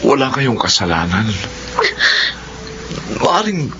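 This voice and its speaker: A kind, steady young man in a radio drama. His mid-pitched voice is earnest and respectful, on a dull recording with loud background noise. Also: slow pace. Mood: reassuring. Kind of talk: Filipino radio drama acting. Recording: muffled radio audio over loud noise.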